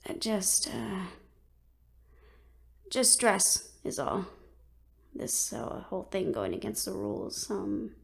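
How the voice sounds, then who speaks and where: Fantasy audio roleplay: composed, protective female adventurer, played by a woman, mid-pitched with gravelly fry, gently teasing. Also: big pitch swings, slow pace, long pauses, no echo, studio quality, full character voice. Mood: uneasy.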